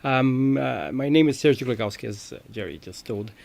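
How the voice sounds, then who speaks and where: A middle-aged man telling his story as an interview guest, in halting English. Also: medium pitch, gravelly, a little mellow. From Brazil. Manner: halting, non-native phrasing. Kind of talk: conversational personal storytelling.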